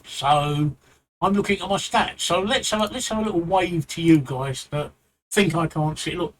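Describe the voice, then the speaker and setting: A man's voice: a little high, normal speed, slight background noise. A man with a London accent giving blunt streaming advice live, repeating himself and rambling into asides. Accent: London English. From England.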